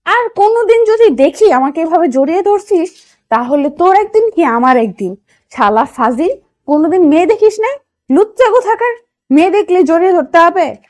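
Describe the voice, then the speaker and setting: A woman's voice: very high-pitched, lively intonation, moderate pace, darkish tone. A woman voicing a feisty, brash young female university student, scolding sharply in a romantic audio story. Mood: indignant.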